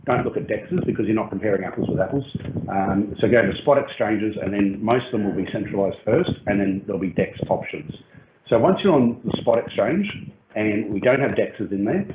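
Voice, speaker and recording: A man leading a crypto project's question session, explaining at length with frequent ums and restarts, heard over an online call. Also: fairly low pitch. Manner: hesitant, many ums and restarts. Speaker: crypto project team lead. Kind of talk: explanatory AMA talk. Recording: tinny phone-like call audio, roomy and noisy.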